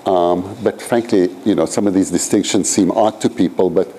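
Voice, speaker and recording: Deep-voiced rambling man in his sixties. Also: moderate pace, mellow, poor audio. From Iran. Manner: rambling, filler-heavy, frequent "you know" and "frankly". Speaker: professor leading a seminar. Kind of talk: conversational seminar lecture. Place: lecture room at a graduate school.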